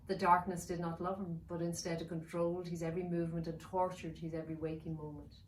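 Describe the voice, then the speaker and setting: A woman author narrating her children's fantasy novel in a measured, expressive storytelling way. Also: lowish voice. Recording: roomy, distant mic with faint noise.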